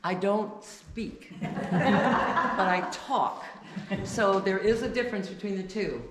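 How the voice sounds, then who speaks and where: A woman giving an informal talk to a church congregation, deliberately loud and chatty, with many restarts. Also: mid-range voice. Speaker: guest speaker. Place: church sanctuary. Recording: echoey church with faint noise.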